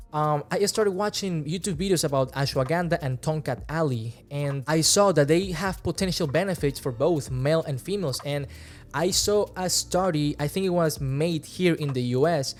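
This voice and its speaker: A man's voice: a little high, normal speed, soft background music. Twenties, man, Venezuelan Spanish accent, earnest, casual, close studio mic, small room. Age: 20s. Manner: relaxed, earnest, gestures with hands while talking. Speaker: fitness vlogger. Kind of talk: casual talk to camera. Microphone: large studio mic close in front.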